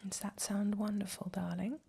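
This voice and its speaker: A woman voicing a flirty partner in a put-on British accent, whispering softly and playfully in an audio roleplay. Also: medium pitch, little pitch movement, moderate pace, creaky, a little hiss or hum, voice pushed up, close to an everyday voice. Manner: soft whisper, playful, teasing.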